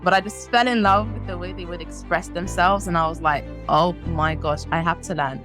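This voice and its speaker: A woman's voice: fairly low pitch, brisk, music in the background. A nineteen-year-old woman, a native French speaker from France, chatting as a podcast guest, laughing often and using lots of ums. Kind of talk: casual interview chat.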